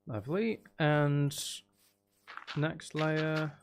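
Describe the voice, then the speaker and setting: A man's voice: on the high side, fairly even intonation, extremely slow. British man streaming over background noise. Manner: informal, rambling, thinking aloud. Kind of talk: casual livestream gaming chat. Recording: clear background noise with clicking.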